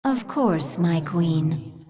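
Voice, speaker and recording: Slow, formal alien attendant. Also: female voice, fairly low pitch, lively intonation, warm tone, husky, heavily acted. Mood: deferential. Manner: formal, deferential. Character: obedient female alien attendant to her queen, formal. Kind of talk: video game cinematic acting.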